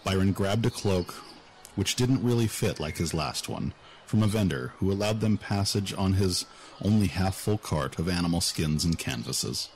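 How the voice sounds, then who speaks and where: A man's voice: low-pitched, expressive, moderate pace, darkish tone, a little hiss or hum. A man narrating a scripted fantasy story as an audiobook narrator.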